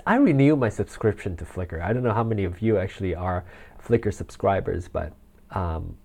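A man's voice: lowish voice, darkish tone. A man of forty hosting a solo podcast in a casual, rambling way, with fillers, restarts and frequent chuckles. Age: 40s. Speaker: podcast host. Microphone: handheld or desk mic with rustle.

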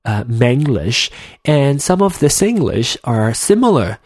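A man's voice: mid-range voice, sing-song, unhurried, darkish tone, husky, no room sound, clean studio sound. A man from Malaysia in his thirties, with an accent that mixes Malaysian and American English, hosting a solo podcast in an earnest, hesitant way with many restarts and fillers. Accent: Malaysian English mixed with American. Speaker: podcast host. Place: home room with a heater running.

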